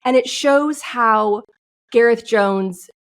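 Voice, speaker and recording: A woman's voice: a little high, slow, smooth. A woman guest on a podcast, telling a story in a passionate, outspoken way. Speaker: guest, screenwriter. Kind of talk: interview answers.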